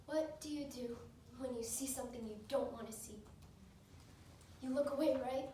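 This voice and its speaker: A woman performing a dramatic monologue as a grieving, guilt-ridden young woman, with emotional, deliberate delivery. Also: sits high, warm tone, close to an everyday voice. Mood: haunted, subdued. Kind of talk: competition dramatic interpretation monologue. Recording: echoey room, far mic, faint noise.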